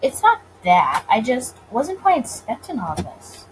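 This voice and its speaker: A woman's voice: fairly high pitch, wildly swooping pitch, measured pace, a little hiss or hum, poor audio. A woman voicing a guarded, wry male detective in a visual novel, speaking dryly and a little awkwardly. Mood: uneasy. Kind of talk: visual novel character reading.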